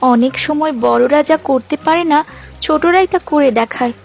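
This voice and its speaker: A woman plays a plucky young girl from a poor village in a cartoon. The voice is high, earnest and resolute, over a light music bed. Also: normal speed, gravelly. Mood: defiant. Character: brave, earnest young daughter of poor fisherman. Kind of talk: cartoon dialogue.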